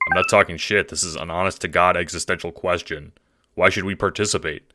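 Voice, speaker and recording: A man voicing a laid-back young dude in an audio drama, slangy and deadpan with hesitant pauses, masking dread. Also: low-pitched, noisy background, close to an everyday voice. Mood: bleak, questioning.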